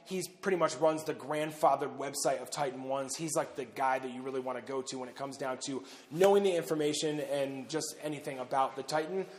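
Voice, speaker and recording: Man talking in a booming underground silo. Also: fairly quick, hardly any pauses. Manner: conversational, loose, with frequent "uh" and "pretty much". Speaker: bunker owner and host. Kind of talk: casual talk to camera. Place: large underground concrete silo tunnel. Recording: long reverb of an underground silo.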